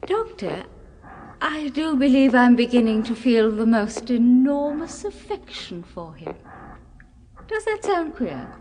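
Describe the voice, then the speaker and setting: An actress playing a doting, quietly possessive British wife with a genteel, cooing manner in a 1970s radio horror drama. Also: female voice, on the high side, very animated. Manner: genteel, deliberate, cooing endearments. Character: softly doting, quietly possessive wife of middle age or older. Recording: reverberant, noisy old radio recording.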